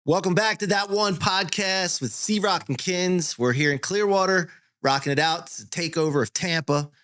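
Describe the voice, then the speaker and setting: A man's voice: high-pitched, moderate pace, fairly bright tone, dry recording. A man hosting a podcast in a studio. He chats casually and encourages his guest, often talking in long run-on stretches. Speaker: podcast host. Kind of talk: casual interview chat.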